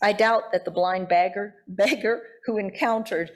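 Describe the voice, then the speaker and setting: A woman pastor giving a sermon in deliberate, emphatic phrases, often posing rhetorical questions. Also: medium pitch, fairly even intonation.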